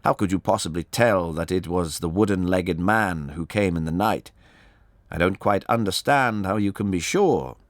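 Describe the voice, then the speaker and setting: A man reading an audiobook, voicing a shrewd, courteous gentleman detective in a measured, smooth-talking manner. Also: low voice, no echo, clean studio sound, close to an everyday voice. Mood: bland, probing. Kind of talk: audiobook character voice.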